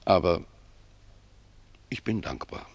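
A humble old gardener in a radio play. His voice is extremely low, with a gravelly creak. He talks in a pious, reflective way, choosing his words like a simple, deferential servant. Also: lively intonation, full character voice. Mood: pensive, devout. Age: older. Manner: reflective, deferential, unhurried phrasing. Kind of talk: German radio drama acting.

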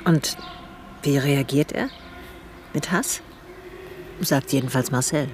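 An actor playing an attentive, bookish, quietly concerned man who speaks and narrates in a radio drama. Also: mid-range voice, slow pace, a little hiss or hum. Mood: attentive, questioning. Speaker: narrator and main character. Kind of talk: radio drama dialogue and first-person narration.

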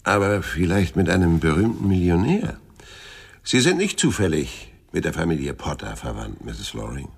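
Hardboiled private eye, super low crackly voice, dry n sardonic, deadpan, radio crime play. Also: male voice, moderate pace, gravelly, husky, exaggerated voice. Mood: guarded, defiant. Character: world-weary, sardonic male private detective, middle-aged. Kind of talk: radio crime drama acting and first-person narration.

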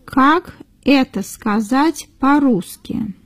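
A woman reading model sentences for learners in a careful, deliberate way, repeating each phrase. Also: sits high, lively intonation, no echo. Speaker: native-speaker model reader.

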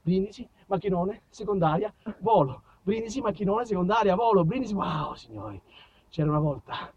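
A man's voice: high-pitched, animated, a little fast, a little mellow, slightly rough, no room sound, dull audio. A man delivering a theatrical storytelling monologue, emphatic and declamatory, repeating phrases for effect. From southern Italy. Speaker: actor-storyteller.

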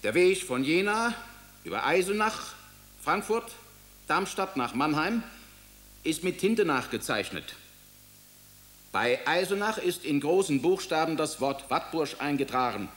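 A man's voice: fairly high pitch, expressive, a little slow, long pauses, a bit bright. Precise man narrating a documentary. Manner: precise, descriptive.

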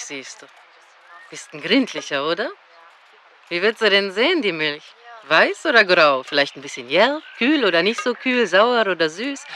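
A woman's voice: mid-range voice, pushed and bright, a little hiss or hum. A woman playing a proud, teasing refugee on a farm in a radio drama, with a mocking, questioning manner.

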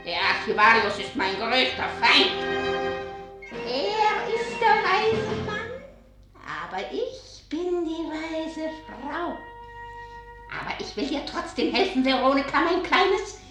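An old witch fortune-teller for a radio play, high and croaky. She wheedles and threatens in a sing-song way, echoing over music. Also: animated, takes it slowly. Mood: gloating, then wheedling. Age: older. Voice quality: croaking, cackling voice. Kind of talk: German radio drama.

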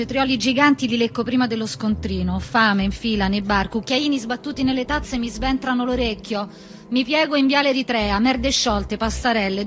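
Actress as a tormented lonely woman, high-pitched. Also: close to an everyday voice. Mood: restless, subdued. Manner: clipped fragmentary phrases, raw and intense. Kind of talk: theatrical monologue, stream of consciousness. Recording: music behind the voice.

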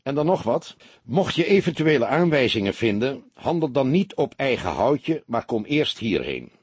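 A man voicing a calm, shrewd veteran police detective in an audiobook, measured and commanding. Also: mid-range voice, husky, no room sound, pitched above the performer's own voice. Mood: brisk, instructing.

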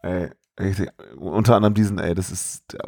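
A man co-hosting a podcast, chatting casually about films in an animated, incredulous way full of colloquial exclamations. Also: low voice, moderate pace. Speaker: podcast co-host. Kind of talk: casual film review chat.

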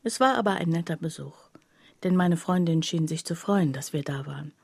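An actress playing a troubled factory worker, telling her story in a soft, husky, halting and confiding radio drama monologue. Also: deep voice, dry recording, heavily acted. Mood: reflective. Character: troubled female factory worker recounting her life, bewildered, plainspoken.

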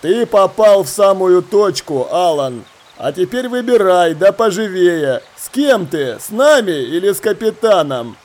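A man voicing a coolly menacing pirate ringleader in an audiobook, deliberate and commanding, drawing out his words. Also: high-pitched, lively intonation, a little mellow. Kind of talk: acted audiobook dialogue.

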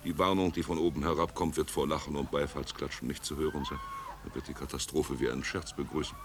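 An actor playing a nobleman's grave, cynical confidant in a 1950s radio play, speaking in a dry, measured, sardonic way. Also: very deep voice, fairly even intonation, noisy background. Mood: dry, ominous.

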